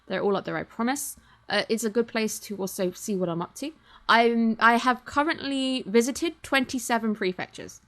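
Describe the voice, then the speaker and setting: A woman's voice: on the high side, moderate pace, fairly bright tone. Sex: woman. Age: 20s. Origin: Britain. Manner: chatty, rambling. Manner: chatty, rambling, self-deprecating. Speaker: channel host. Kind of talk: casual thank-you chat to viewers. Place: home streaming setup. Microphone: computer or webcam mic.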